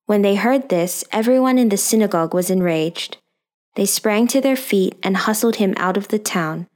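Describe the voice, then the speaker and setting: A woman's voice: medium pitch, darkish tone. A woman reading a scripture passage aloud as scripted narration. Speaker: scripture reader.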